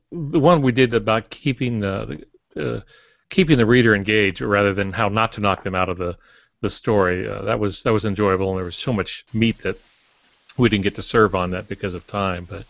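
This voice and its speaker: A man with a lowish, gravelly voice chats informally on a podcast panel. The recording is dull, with some room echo. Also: moderate pace. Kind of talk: casual chat. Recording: dull lo-fi audio, roomy echo.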